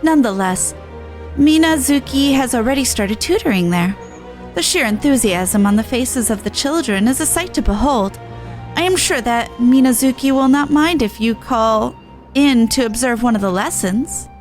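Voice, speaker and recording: A woman's voice: fairly high pitch, lively intonation, moderate pace, a little mellow. A woman streaming a game, reading dialogue aloud and dropping in playful, casual asides. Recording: music under the voice.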